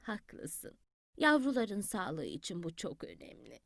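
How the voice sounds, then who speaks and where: Woman voicing a soft old grandmother hen. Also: mid-range voice, huge pitch swings, slow pace, creaky, dry recording, voice pushed down, exaggerated voice. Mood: soothing.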